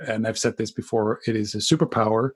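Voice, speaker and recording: A man hosting a casual conversational interview, repeating and rephrasing his words in a halting way. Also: lowish voice, steady tone, darkish tone, husky. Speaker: podcast host, interviewer.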